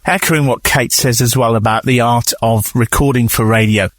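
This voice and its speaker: A man's voice: medium pitch, fairly even intonation, moderate pace, dry recording. A man hosting a conversational radio interview in an affirming, reflective manner.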